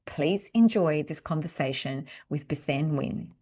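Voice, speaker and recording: A woman's voice: deep voice, lively intonation, unhurried, mellow, husky, soft background music. A woman hosting an interview podcast, introducing and questioning a guest. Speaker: podcast host.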